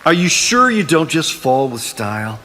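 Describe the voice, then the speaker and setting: A flippant Hollywood fighter in a video game. His quips are theatrical and very lively in pitch, with a long reverb and clear background noise. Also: moderate pace. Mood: sarcastic. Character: cocky, wisecracking Hollywood showman fighter. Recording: noisy game audio, hall reverb.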